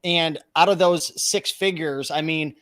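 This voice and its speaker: A man with a fairly high voice hosts a casual interview. He asks friendly, leading questions and sums up his guest's story back to him. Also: fairly even intonation, unhurried, fairly bright tone, clear, resonant tone. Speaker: host, interviewer.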